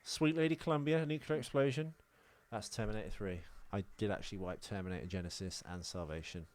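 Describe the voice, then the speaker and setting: A man's voice: on the low side, fairly quick, slight background noise. A British man hosting a podcast in casual, sweary and self-deprecating banter. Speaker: podcast host.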